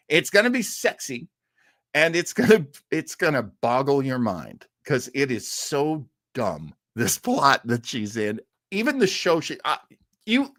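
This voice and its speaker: Animated man hosting live in a roomy space. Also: mid-range voice, clean studio sound. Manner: rambling, jokey, exclamatory. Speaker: host of a live streamed show. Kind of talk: casual live stream sign-off and plugs. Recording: roomy echo with faint music.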